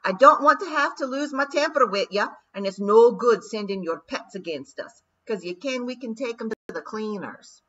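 A woman's voice: fairly high pitch, expressive, moderate pace, few pauses, pitched above the performer's own voice. A woman doing a blustering Scottish pixie chief. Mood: defiant. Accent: Scottish put on. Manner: loud, blustering, gruff. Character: brawling small male pixie chieftain, fierce and cocky. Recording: dull lo-fi recording.

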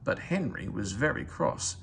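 A man reading a children's story aloud as scripted narration, in an expressive storytelling manner. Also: fairly low pitch, measured pace, vocal fry, a little hiss or hum. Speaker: storybook reader.

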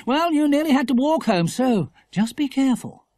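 A woman voicing a sensible, practical mother in a storybook, explaining matter-of-factly and gently firm. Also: fairly low pitch, very animated, brisk, dry recording, studio quality, voice pushed up.

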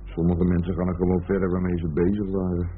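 A man's voice: sits low, monotone, fast talker, noisy background, close to an everyday voice. A man playing a lazy, mocking boyfriend in a radio drama, offhand and grumbling. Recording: narrowband old radio recording, music behind.

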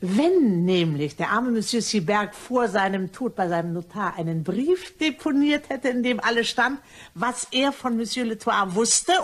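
A woman's voice: mid-range voice, lightly acted. A woman playing a sly, chatty cleaning lady turned blackmailer in a crime radio play, ingratiating and mock-innocent in manner.

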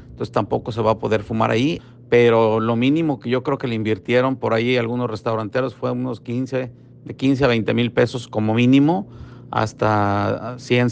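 A man's voice: medium pitch, vocal fry, a little hiss or hum. A middle-aged man speaking as a restaurant industry representative in a phone interview, hesitant with many fillers and restarts. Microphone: phone line.

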